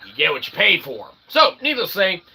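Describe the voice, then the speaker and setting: Male comedic host, piercingly bright and tense, theatrical tongue-in-cheek monologue, some background noise. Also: high voice, wildly swooping pitch, brisk, low-quality recording. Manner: theatrical mock showman, tongue-in-cheek asides. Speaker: host of a comic philosophy show.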